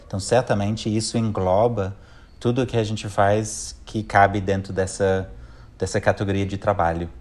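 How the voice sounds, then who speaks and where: A middle-aged man from England with an English accent, speaking Portuguese as a second language, giving thoughtful, measured interview answers as a conflict mediator, pausing and restarting phrases as he thinks. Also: lowish voice, steady tone, a little mellow.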